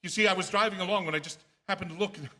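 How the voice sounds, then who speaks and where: A man's voice: sits high, steady tone, rapid-fire, sharp tone. A man playing a haunted, anxious lone motorist in a radio drama, intense and sometimes stammering. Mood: nervous, pleading. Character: haunted, anxious lone male motorist narrating his ordeal. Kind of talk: dramatic first-person radio drama narration. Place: theatre stage. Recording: reverberant theatre hall, music underneath. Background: dramatic music.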